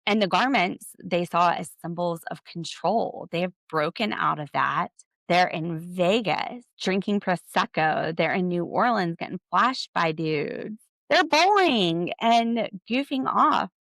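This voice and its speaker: An American woman hosting a podcast, giving casual, opinionated commentary in an animated, sarcastic, slangy way. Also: on the low side, unhurried, dry recording. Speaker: podcast host.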